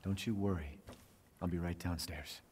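An actor playing a cautious, protective young father in a video game, speaking softly and earnestly. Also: sits low, fairly even intonation, moderate pace, close to an everyday voice. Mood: tender.

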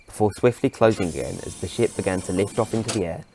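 A man's voice: lowish voice, fast, background noise. A man reading scripted narration for an audio adventure story.

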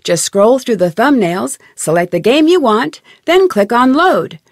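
A woman playing a chipper, curious young detective, giving friendly, clearly articulated tutorial narration for a game. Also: on the high side, big pitch swings, measured pace, no room sound, studio quality, exaggerated voice. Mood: friendly, instructive.

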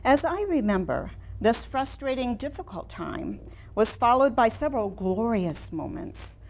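A woman in her sixties playing a proud, wistful woman painter in a theatrical, deliberate staged reading. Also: a little high, very animated, heavily acted. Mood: wistful, reflective. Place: small room in a house before a seated audience.

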